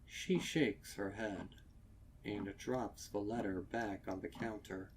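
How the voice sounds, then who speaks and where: A man reading a scripted horror story as narrator, with deliberate, dramatic delivery. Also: fairly low pitch, fairly even intonation, slight background noise, low-quality recording. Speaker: narrator of fan fiction readings.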